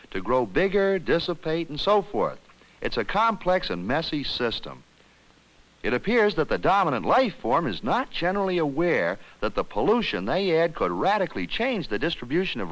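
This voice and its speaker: Higher-voiced, animated alien scientist. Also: male voice, rough recording. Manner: earnest, explanatory. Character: earnest alien crew scientist explaining weather to captain. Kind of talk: scripted educational film drama.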